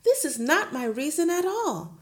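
A woman voicing a gentle, earnest young teacher who pleads softly and politely. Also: sits high, sing-song, a little slow, pitched above the performer's own voice.

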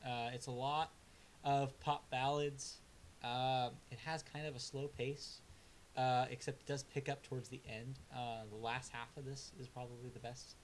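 A man's voice: medium pitch, flat delivery, moderate pace, a little hiss or hum. A man co-hosting a casual album review chat, laid-back and hesitant with lots of filler sounds, delivering blunt, dry verdicts. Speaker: music review co-host.

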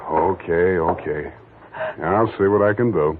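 A man's voice: sits low, measured pace, narrow, tinny audio. An actor playing a hard-boiled, wisecracking male private detective in a 1940s radio drama, delivering dry, clipped lines. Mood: wry. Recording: scratchy 1940s radio broadcast with music.

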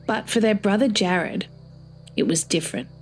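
A woman reading a scripted short story as narrator, in a measured storytelling manner. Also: mid-range voice, faint music behind.

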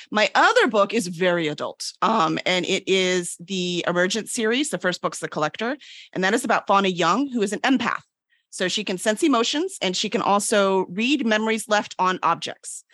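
A woman's voice: medium pitch, lively intonation, moderate pace, fairly bright tone, no room sound. A woman author chats informally in a mid-range voice. Her delivery is breezy and conversational, as a guest describing work casually. The sound is dry and close, with little room. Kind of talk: casual chat, pitching own books.

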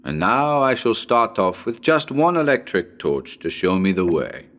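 A man performs a grave foreign professor of the occult in a horror tale. The voice is low and rises and falls dramatically, with deliberate, halting phrases full of portent and solemn warning. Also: sing-song, fairly bright tone, heavily acted. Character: grave foreign psychic professor, ghost hunter, ominous and solemn. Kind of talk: dramatic reading of a horror story.

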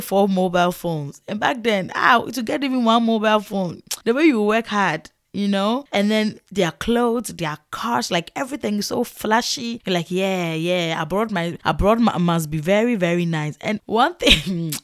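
A young Ghanaian woman tells a story in a loose, chatty way, laughing often and breaking into animated exclamations. Her Ghanaian English accent is clear, and her voice sits on the low side. The recording is dry and close, with no room sound. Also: no room sound. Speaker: podcast host. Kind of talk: casual storytelling monologue.

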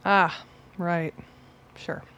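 A woman's voice: fairly low pitch, little pitch movement, very slow, fairly bright tone. A woman playing a blunt, weary runaway. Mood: guarded. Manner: blunt, dry and swearing. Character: weary, guarded young woman on the run. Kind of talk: radio play acting.

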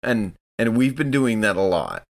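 A man playing a tabletop game, discussing choices casually in an earnest, deliberate way. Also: on the low side, lively intonation, creaky.

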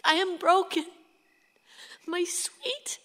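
A grieving mother in a radio drama, tender and bitter in her despair. Her voice is high and bright, with a wounded, intense delivery. It sits in long reverb with some noise around it. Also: lively intonation, takes it slowly, exaggerated voice. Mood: broken, tender. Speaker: actress in a radio play. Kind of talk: emotional radio drama acting.

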